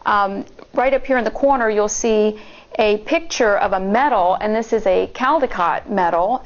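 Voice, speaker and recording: A woman teacher reading a picture book aloud to children in a deliberate, explanatory way. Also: medium pitch, dull audio. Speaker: elementary school teacher, story reader. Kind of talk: storybook read-aloud with asides to children.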